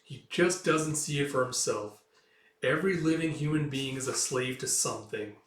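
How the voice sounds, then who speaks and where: A man voicing a philosophical, wryly scornful Viking leader in a measured, aphoristic way, as in an animated saga. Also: medium pitch, lightly acted. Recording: echoey room, distant from mic.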